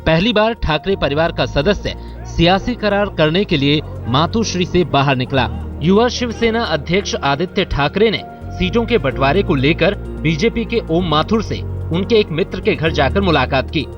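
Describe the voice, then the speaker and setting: A man voices a news report in a slightly high, formal tone. The sound is a little dull and a music bed runs beneath it. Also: normal speed. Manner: steady, formal reading. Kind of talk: scripted news narration. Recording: muffled news audio over music.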